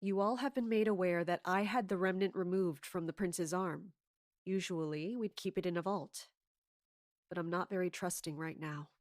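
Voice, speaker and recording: A woman playing a scheming, authoritative commander in an audio drama, speaking in a measured way. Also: on the low side, no echo, studio quality, natural voice. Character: scheming, commanding woman in charge of palace guards. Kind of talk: audio drama acting with first-person narration.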